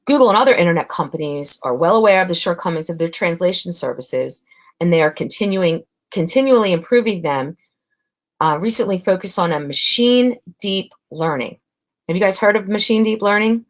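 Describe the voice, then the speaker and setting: A woman's voice: on the low side, highs cut off. A woman with a Spanish accent giving a webinar presentation in a conversational way, with frequent fillers.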